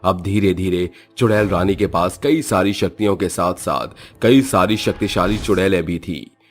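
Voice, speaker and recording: A man's voice: low voice, normal speed. A man narrating a scripted horror story.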